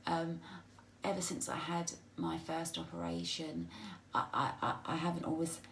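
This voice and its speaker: Husky, croaky woman with a dry throat. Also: deep voice, very animated, a little hiss or hum. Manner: soft, halting, with many restarts and ums. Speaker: vlogger. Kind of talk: casual personal message to viewers.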